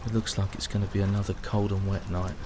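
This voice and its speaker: An actor playing a weary young British soldier of the First World War in a sombre, reflective audio drama monologue. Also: male voice, low-pitched, level tone, fast talker, noisy background, natural voice. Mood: weary, resigned. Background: prominent music.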